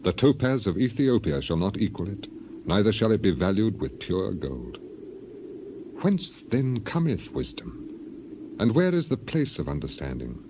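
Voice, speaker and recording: Deep-voiced man reading scripture solemnly. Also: very animated, highs cut off, background noise. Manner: solemn, deliberate, declamatory delivery. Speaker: scripture reader. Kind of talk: dramatized Bible reading.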